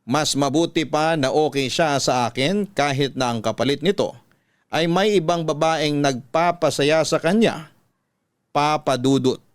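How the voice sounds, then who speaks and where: A man with a Filipino accent narrating a sentimental confessional story in a measured, classic radio drama style. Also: a little high, no room sound, studio quality. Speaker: radio-style storyteller host reading a letter.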